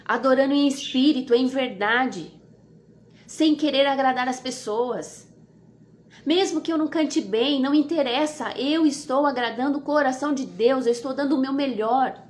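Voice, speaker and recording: A woman's voice: high voice, normal speed. A woman with a Brazilian Portuguese accent giving an informal devotional sermon, exhorting earnestly and repeating phrases for emphasis. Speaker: devotional host and preacher.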